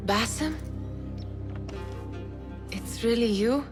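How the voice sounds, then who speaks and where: A woman's voice: fairly high pitch, extremely slow, over music. An actress playing an affectionate, teasing young woman, an old friend of the hero, in a video game cutscene, with playfully formal phrasing. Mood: surprised.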